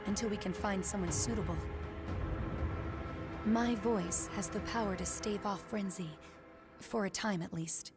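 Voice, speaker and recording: A solemn young woman played by an actress in a dramatic video game scene. She speaks with measured, earnest gravity, carrying remorse and duty. Loud music plays under her voice. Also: mid-range voice, close to an everyday voice.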